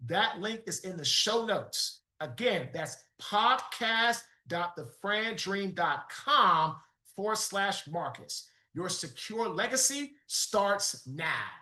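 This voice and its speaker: Male podcast host, punchy motivational delivery, bright voice, roomy sound. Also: sits high, animated, takes it slowly. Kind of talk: podcast interview with ad read.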